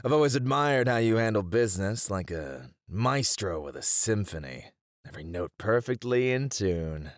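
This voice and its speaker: A man's voice: on the low side, lively intonation, moderate pace, no room sound, studio quality, natural voice. A man playing a charming, mischievous, flirty mafia boss in a teasing, theatrical ASMR roleplay. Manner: teasing, theatrical, smooth-talking.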